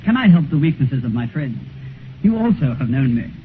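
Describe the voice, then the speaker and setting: An actor playing a vain, corrupt, ageless English gentleman in a theatrical, taunting manner in a 1950s radio drama. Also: mid-range voice, sing-song, a little fast, natural voice. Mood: cool, dismissive. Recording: noisy, muffled 1950s radio recording.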